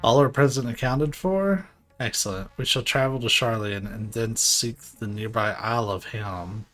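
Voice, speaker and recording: A man reading game dialogue aloud for a formal, archaic-speaking scholar ally, in a plain, fairly flat way. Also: mid-range voice, moderate pace, faint music behind, lightly acted.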